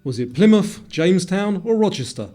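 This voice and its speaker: A man with a soft, mellow tone and a slightly high pitch narrates a scripted quiz. His delivery is measured, with deliberate pauses between choices, and faint music plays behind him. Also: animated.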